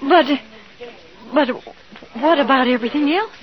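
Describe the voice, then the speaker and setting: A woman playing a nervous, refined, high-strung bride in a 1950s radio Western, speaking hesitantly. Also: moderate pace, close to an everyday voice. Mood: frightened. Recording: muffled old radio, voices behind.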